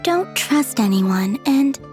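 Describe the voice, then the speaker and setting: A quietly odd young maiden in a game, very high in pitch. She speaks with gentle, naive directness over faint music. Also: animated, slow. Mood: serene, innocent. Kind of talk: game character acting.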